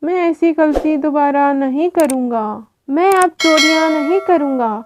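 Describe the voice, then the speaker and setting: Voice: sits high, a little slow. A performer voicing a cowardly, pleading drunkard husband crow in a Hindi cartoon story, on dull, noisy audio.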